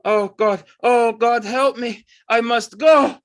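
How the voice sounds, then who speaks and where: A man's voice: extremely high pitch, slow pace, heavily acted. A man playing a timid artist in an audio play, gasping and crying in terror. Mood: terrified. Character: terrified, weeping, timid poor artist. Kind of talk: theatrical audio drama acting.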